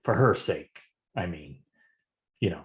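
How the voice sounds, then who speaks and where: An actor playing a hard-boiled male private detective in a noir staged reading, gruff and wisecracking in old-time gumshoe slang. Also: deep voice, animated, a little slow, lo-fi sound. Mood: brooding.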